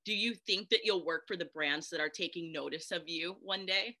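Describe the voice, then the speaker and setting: Bright-voiced woman host. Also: fairly low pitch, moderate pace. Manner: bubbly, laughs often. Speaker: interview host. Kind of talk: casual interview.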